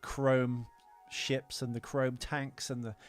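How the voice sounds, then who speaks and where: Guy doing a sweary opinionated movie podcast rant, normal pitch, bit of music under him. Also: level tone, takes it slowly. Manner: ranting, sweary, emphatic. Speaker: podcast co-host. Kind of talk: casual opinionated film chat.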